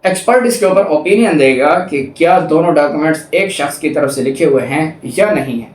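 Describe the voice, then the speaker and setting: A man's voice: fairly high pitch, lively intonation, fairly quick. A man with a Pakistani accent giving an explanatory law lecture in a didactic way, reading statutes aloud and rephrasing each point. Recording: echoey room.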